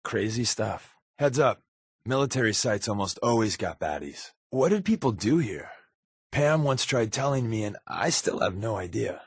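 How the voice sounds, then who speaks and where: An American man playing a wisecracking, sly undercover agent in a video game, talking in casual quips with a deadpan, sardonic manner. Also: lowish voice, moderate pace, breathy edge, dry recording, studio quality. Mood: musing, deadpan. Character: wisecracking, sly adult male undercover agent, habitual fibber. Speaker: companion character in a video game.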